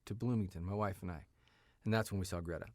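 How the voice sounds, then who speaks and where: Low-voiced man in his fifties, meandering storyteller. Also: fast talker, darkish tone, dry recording, clean studio sound. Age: 50s. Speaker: writer, actor, interview guest. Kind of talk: casual conversational storytelling. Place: radio studio.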